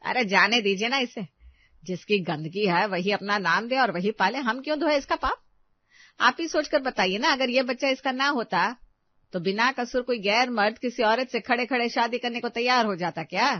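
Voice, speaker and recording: A woman's voice: a little high, moderate pace, dull audio, close to an everyday voice. A woman voicing a domineering, spiteful middle-aged mother-in-law in a melodramatic audio story, harsh and taunting. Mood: scornful.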